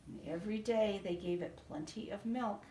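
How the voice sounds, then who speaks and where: A woman reading a children's picture book aloud in a sing-song, expressive way. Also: medium pitch, normal speed, a little hiss or hum. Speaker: storybook reader. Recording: roomy echo, from a distance.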